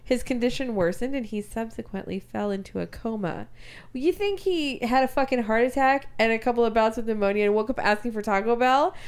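A woman's voice: fairly high pitch, fairly quick, clear, resonant tone. A woman co-hosting a casual podcast, reading odd news stories and adding wry comments. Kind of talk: casual chat.

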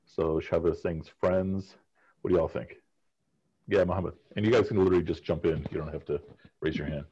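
A man's voice: deep voice, fairly even intonation, a little fast, slightly rough, lo-fi sound. A man leading a class discussion as professor over a video call, asking students questions in a casual, conversational way. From United States.

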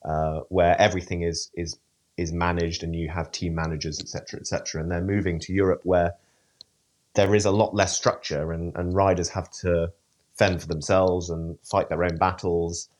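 A man's voice: deep voice, little pitch movement, moderate pace, a little mellow. A man answering interview questions, hesitant, with many fillers and restarts. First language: English. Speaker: event rider, interview guest. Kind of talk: podcast interview.

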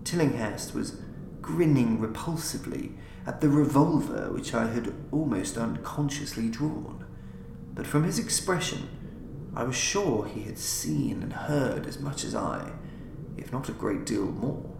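A man reading a horror story as a podcast narrator, in a deliberate, solemn, dramatic style. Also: medium pitch, expressive. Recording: roomy, clearly noisy home recording.

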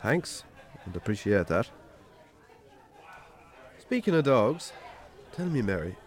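An actor in a radio drama playing a weary, sardonic Irish farmer with a dry, colloquial, sweary way of talking. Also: male voice, fairly low pitch, very animated, slow, a faint crowd. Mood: polite, guarded. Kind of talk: naturalistic radio drama acting.

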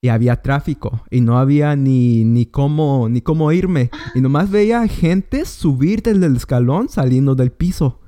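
A man, interviewing in casual conversation and often pausing with fillers. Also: mid-range voice, animated, warm tone. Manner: hesitant, many fillers and restarts.

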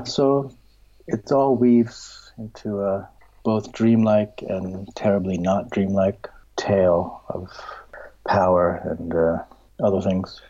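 A middle-aged man talks about his work in a slow, reflective drawl, hunting for words and often starting over. His voice sits low and dark, and some phrases sink into a breathy whisper. The recording is dull and lo-fi. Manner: halting, searching, many restarts, trails into a soft whisper. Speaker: filmmaker and writer. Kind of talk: reflective interview answers.

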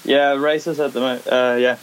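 Danish-accented man, flat halting voice. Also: mid-range voice, smooth, low-quality recording. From Denmark. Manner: halting, many restarts and fillers. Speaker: skateboarder, competition winner. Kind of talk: casual interview. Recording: faint background hiss.

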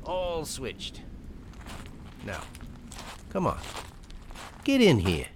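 An actor playing a smooth, menacing stranger with a folksy, falsely friendly manner in an old radio thriller. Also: male voice, on the high side, huge pitch swings, extremely slow, heavily acted. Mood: breezy, insistent. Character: smooth, menacing male stranger driving a lone woman. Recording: noisy old radio drama recording.

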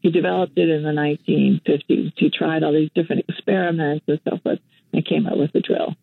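A woman's voice: deep voice, little pitch movement, brisk, vocal fry, slightly rough, no room sound. Older woman telling stories on a phone line. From Western Canada. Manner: reflective storyteller, many fillers and restarts. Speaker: guest, activist and journalist. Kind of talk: casual interview on the phone. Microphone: telephone.

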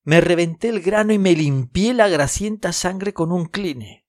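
A man's voice: sits high, moderate pace, darkish tone, close to an everyday voice. A man playing a lonely, lovelorn and self-deprecating narrator in a scripted audio fiction. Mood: nervous, self-mocking.

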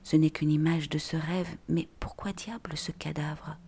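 A person reading scripted literary prose in a breathy, half-whispered voice with measured, deliberate phrasing. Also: mid-range voice, flat delivery, warm tone.

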